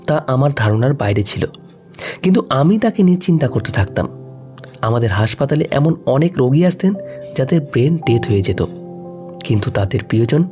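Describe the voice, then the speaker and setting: A male storyteller narrates a scripted emotional tale. His voice is warm and mellow, sitting slightly higher than average. Music plays behind his reading. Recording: music under the voice. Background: background music.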